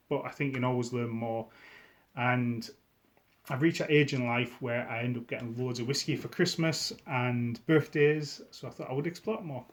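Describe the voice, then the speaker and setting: Easygoing Scottish man chatting to camera. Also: mid-range voice. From Scotland. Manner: chatty and easygoing, with frequent restarts. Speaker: whisky vlogger. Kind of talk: casual chat to camera.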